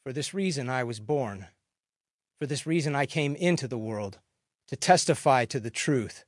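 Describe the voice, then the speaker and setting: A man playing a calm, solemn holy man on trial in a dramatic scripture reading, earnest and measured. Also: mid-range voice, no echo, studio quality, close to an everyday voice.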